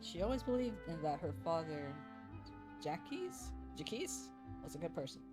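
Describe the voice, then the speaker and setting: A woman's voice: deep voice, very animated, normal speed. A woman giving casual, informal playthrough commentary, reacting to a game's story with some swearing. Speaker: gamer reacting to a story quest. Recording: game music behind voice.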